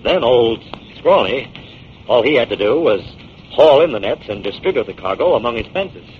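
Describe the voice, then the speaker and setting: A man's voice: mid-range voice, lively intonation, normal speed, close to an everyday voice. An actor playing a confident male detective in 1940s radio drama, explaining things in an assured way. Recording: muffled, noisy 1940s radio recording.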